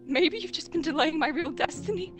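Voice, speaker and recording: A woman's voice: high voice, fairly quick, over loud music, voice pushed up, lightly acted. A woman playing an anguished, self-blaming young woman in a dramatic fan scene, earnest and pleading. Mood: pleading, resigned.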